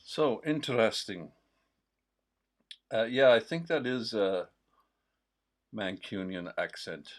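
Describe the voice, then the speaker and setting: Host, male, mild Newfoundland accent; lowish voice, slow, meandering delivery with frequent fillers. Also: expressive. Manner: digressive, with many pauses and fillers. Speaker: host of a livestream. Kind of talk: casual rambling chat.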